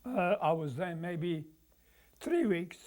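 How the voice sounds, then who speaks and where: A man speaks in an oral history interview. His voice sits high for a man, and he talks haltingly, with many pauses and fillers. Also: lively intonation, darkish tone. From Croatia. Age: 90s. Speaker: veteran being interviewed.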